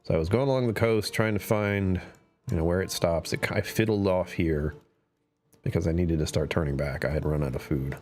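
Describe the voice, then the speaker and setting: Low-voiced man streaming a game. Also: brisk, darkish tone. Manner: chatty, self-talking, trails off into whispers. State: slightly strained voice. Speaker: live streamer. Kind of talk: casual gameplay commentary.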